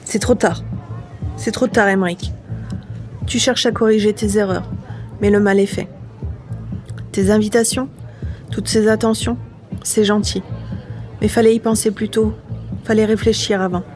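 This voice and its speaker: A woman's voice: medium pitch, fairly even intonation, measured pace, pauses often, a little mellow, lightly acted. A woman acting a wounded, reproachful grown child in an audio drama. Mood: hurt, reproachful. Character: hurt, reproachful grown child refusing to forgive. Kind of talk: novel adaptation read as audio drama. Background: faint music.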